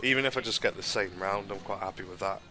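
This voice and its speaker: A man's voice: sits low, quick pace. A young man with a stuffy, nasal voice from a cold, giving rambling commentary on a boxing video game. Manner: rambling, many ums and restarts. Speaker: gaming commentator. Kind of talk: casual gameplay commentary.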